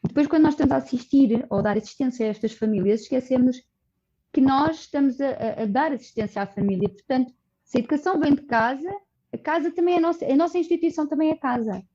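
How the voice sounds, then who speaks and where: A woman's voice: high-pitched, fast, dark tone. Earnest Portuguese woman, muffled sound. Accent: European Portuguese. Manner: earnest, explanatory, with frequent restarts and "portanto". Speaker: social coordinator of a community project, guest. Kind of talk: conversational podcast interview. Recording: dull, muffled audio.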